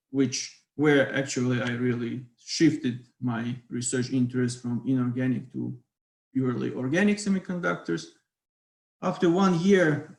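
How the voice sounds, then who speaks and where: A man with a Ukrainian accent gives an online physics lecture, pausing often on fillers. His middle-pitched voice has a gravelly edge. The call picks up an echoey room around him. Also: a little mellow. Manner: hesitant, many fillers. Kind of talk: online academic talk.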